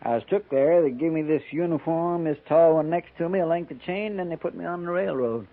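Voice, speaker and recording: A man's voice: on the high side, a little fast, lightly acted. A man playing a small, sullen jailbird pressed into the army, insolent and rustic, in a 1950s radio western. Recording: tinny 1950s radio broadcast.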